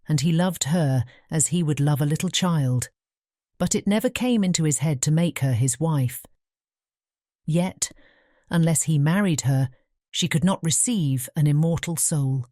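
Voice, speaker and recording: Low, mellow British woman narrating. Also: no room sound, clean studio sound. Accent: British English. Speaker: audiobook narrator. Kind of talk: scripted fairy tale narration.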